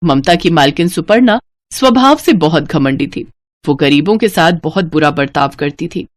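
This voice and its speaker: A woman's voice: low voice, lively intonation, normal speed, dry recording. A woman storyteller reading a scripted moral story as narrator.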